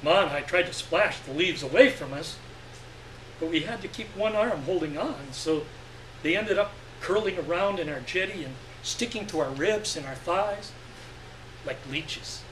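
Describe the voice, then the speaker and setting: A man giving an expressive live reading of literary fiction, with deliberate phrasing and dramatic pauses. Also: fairly high pitch. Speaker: story reader. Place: live reading event indoors. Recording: echoey room, distant mic, faint hum.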